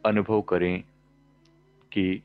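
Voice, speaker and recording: A man guiding a meditation as a spiritual teacher, calm and measured, pausing between short phrases, on a dull, muffled recording. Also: low voice, soft background music. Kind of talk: guided meditation instruction.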